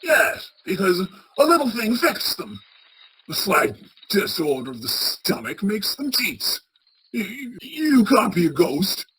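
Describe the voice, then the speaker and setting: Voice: medium pitch, a bit bright, full character voice. An actor playing a crotchety, frightened old male miser in a radio play, stammering and blustering as he speaks. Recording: clearly noisy track with ambience.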